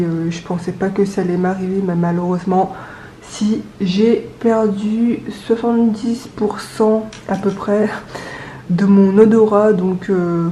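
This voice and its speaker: A young adult woman with a cold and a stuffy nose, chatting casually to camera, with many hesitant pauses. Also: medium pitch, mellow, reverberant, a little hiss or hum, low-quality recording. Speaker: budgeting vlogger.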